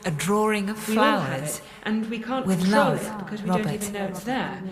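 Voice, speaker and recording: A woman's voice: mid-range voice, animated, very fast, a loud crowd, lightly acted. An actress playing an earnest, troubled woman psychiatrist, tender but guarded in a radio drama. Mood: hushed, brooding, then calm, professional.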